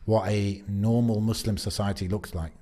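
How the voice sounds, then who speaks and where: A man co-hosting a podcast, speaking in a reflective, measured way. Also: lowish voice, fairly even intonation, dark tone. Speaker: podcast co-host. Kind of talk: casual podcast chat.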